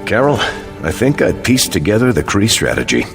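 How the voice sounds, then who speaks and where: An actor playing an earnest, thoughtful veteran soldier superhero in a video game, speaking in measured declarations. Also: male voice, on the low side, very animated, gravelly, soft background music. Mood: earnest, eager.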